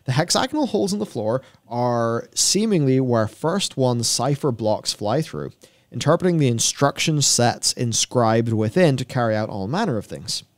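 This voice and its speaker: A young man with a mid-range voice co-hosts a gaming show. He reads from notes and drops into casual, off-the-cuff commentary, speaking close on a studio microphone. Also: medium pitch. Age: young adult. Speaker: co-host of a gaming news show. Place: small indoor studio with acoustic foam panels. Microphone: broadcast mic on a boom arm, close.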